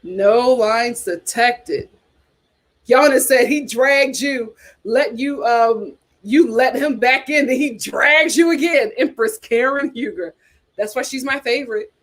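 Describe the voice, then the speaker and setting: A woman's voice: fairly high pitch, slow pace. A woman hosting a casual reality TV chat, reading viewer comments aloud with dramatic exclamations.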